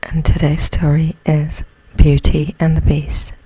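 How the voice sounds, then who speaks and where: A woman narrating an ASMR bedtime story as a storyteller, in a breathy whisper. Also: low-pitched, completely flat delivery, measured pace, dark tone, a little hiss or hum, rough recording.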